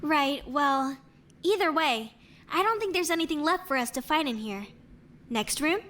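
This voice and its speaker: A high-voiced young woman investigator played by a voice actress in an animated mystery, casual and tidy-minded. Her pitch moves around a lot, and there is some noise behind her. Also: moderate pace. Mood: thoughtful, careful. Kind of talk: voice acting in an animated murder mystery.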